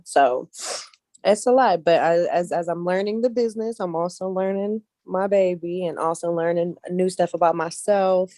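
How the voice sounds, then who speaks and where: Mellow, low-voiced woman in casual interview. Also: no room sound. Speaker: guest casting director, actress and model.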